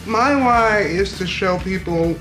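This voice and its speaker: Echoey higher male voice over faint music. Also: slow, a bit bright. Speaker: acting student. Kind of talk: sincere personal testimony. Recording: roomy echo with faint music.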